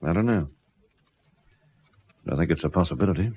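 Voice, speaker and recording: An actor playing a wary, knowing country policeman in a radio drama, measured and laconic in manner. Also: low-pitched, animated, a little mellow, slightly rough, exaggerated voice. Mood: concerned. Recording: dull lo-fi radio recording.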